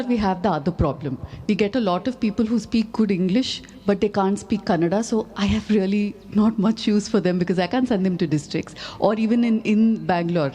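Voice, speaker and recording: A woman's voice: medium pitch, warm tone. A woman moderating a festival panel in a friendly, conversational way. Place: festival stage.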